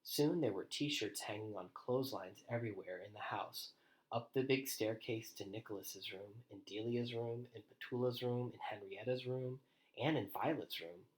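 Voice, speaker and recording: A man reads a storybook aloud in an animated, deliberate way, as if for young children. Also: lowish voice, low-quality recording. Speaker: storybook reader. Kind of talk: expressive children's read-aloud with quieter asides.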